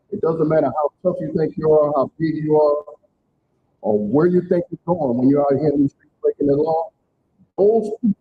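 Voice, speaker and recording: A middle-aged man, telling his personal story emphatically to a live audience at a church event. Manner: storytelling, emphatic, plain-spoken. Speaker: speaker at community event. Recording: tinny phone-like sound, faint rumble.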